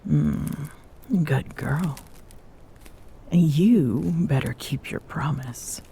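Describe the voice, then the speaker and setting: A woman playing a tall, smitten Amazon queen in an intimate ASMR romance roleplay, teasing and commanding, halting at times and often dropping into whispers. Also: sits low, gravelly, dark tone, a little hiss or hum, heavily acted. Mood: playful, commanding.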